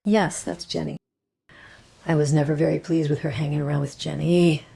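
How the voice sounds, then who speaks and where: A woman's voice: deep voice, flat delivery, moderate pace, vocal fry, mellow, breathy edge, full character voice. An actress playing a grieving, genteel mother in a crime radio play, restrained and careful in her speech. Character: grieving, genteel mother, reserved and slightly snobbish.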